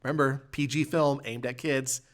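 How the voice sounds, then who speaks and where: A man hosting a podcast, casually recapping and reviewing a film in a halting, rambling way. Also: medium pitch, fairly even intonation. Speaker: podcast host.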